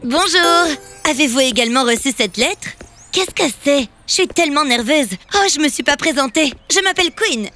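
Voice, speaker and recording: A woman voicing an excitable young girl in a cartoon dub, bubbly and enthusiastic. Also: sits high, normal speed. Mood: nervous. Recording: children's music playing behind.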